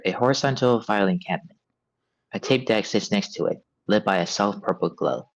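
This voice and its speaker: A man with a fairly low voice narrates scripted scene directions at a table read. The sound is dry and close, a little dull in the highs. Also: dry recording.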